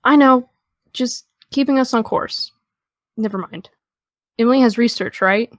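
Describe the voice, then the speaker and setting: A woman's voice: fairly high pitch, measured pace, dry recording, lightly acted. An actress playing a guarded, hungover teenage girl, dry, hesitant and defensive, in a naturalistic audio drama.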